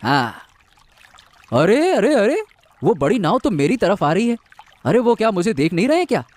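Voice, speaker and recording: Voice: mid-range voice, very animated, normal speed, darkish tone, dry recording. A performer voicing a poor male fisherman in a cartoon who wails and pleads. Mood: panicked. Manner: wailing and pleading. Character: poor male fisherman, upset but hopeful.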